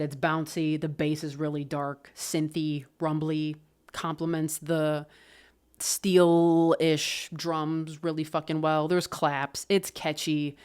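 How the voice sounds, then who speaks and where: A woman casually talking through an album review, loose and expressive, swearing and laughing often. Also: low voice, clean tone. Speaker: music reviewer.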